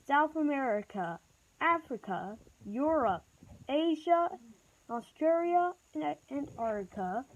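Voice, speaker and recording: A woman reading a homemade mock emergency broadcast announcement in a halting, stumbling delivery. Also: sits high. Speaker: vlogger acting as emergency alert announcer. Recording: dull, muffled home recording with noise.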